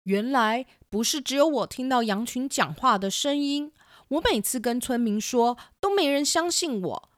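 A woman's voice: high voice, expressive, normal speed, dry recording, clean studio sound, voice pushed up. A woman voicing a plaintive, aggrieved young shepherd boy in a children's audio drama. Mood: earnest. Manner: plaintive, calling out.

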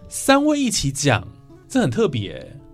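A man's voice: a little high, huge pitch swings, normal speed. A man with a Taiwanese Mandarin accent hosting a conversational interview, asking questions and summing up answers. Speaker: radio show host. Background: soft guitar music.

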